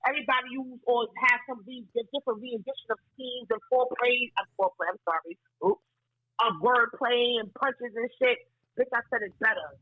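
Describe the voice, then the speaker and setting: Woman, soft voice, blunt, cussing, quick delivery, dry room. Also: a little high, breathy edge, no echo, phone-quality sound. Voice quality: soft-spoken. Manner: blunt, profane, boastful. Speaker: battle rapper interview guest. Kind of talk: candid interview talk.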